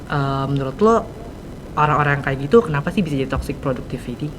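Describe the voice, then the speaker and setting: A man's voice: medium pitch, fast, fairly bright tone, slight background noise, low-quality recording. A teenage boy hosting a casual school podcast, chatty and full of slang and fillers. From Indonesia. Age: teens. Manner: slang-heavy, many fillers. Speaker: student podcast host.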